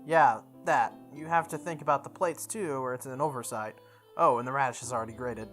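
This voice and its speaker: A woman's voice: a bass voice, brisk. A woman voicing an earnest, matter-of-fact teenage boy in a visual novel read aloud, with music behind. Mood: practical, earnest. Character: earnest, practical teenage boy cooking breakfast.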